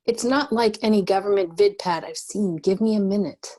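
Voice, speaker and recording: A woman's voice: medium pitch, moderate pace, warm tone, no echo, close to an everyday voice. An actress at a table read playing a capable, self-assured female bounty cop with a wry, clipped manner. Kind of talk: screenplay table read acting.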